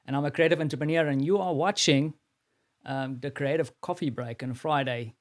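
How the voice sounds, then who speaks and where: Man, South African accent, live-stream host, rambling casual talk, fairly high pitch. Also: a little fast. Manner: rambling, many fillers and restarts.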